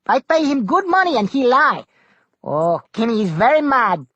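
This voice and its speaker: A reader voicing a hot-tempered male boat navigator who speaks broken English and yells in sharp bursts. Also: medium pitch, sing-song, moderate pace, dry recording, lo-fi sound, pitched above the performer's own voice. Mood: indignant.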